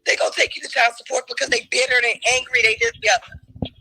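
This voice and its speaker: A woman's voice: high-pitched, very animated, fast talker, breathy edge, poor audio. A woman arguing in a heated debate, emphatic and challenging, and losing her voice. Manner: forceful, emphatic, challenging questions.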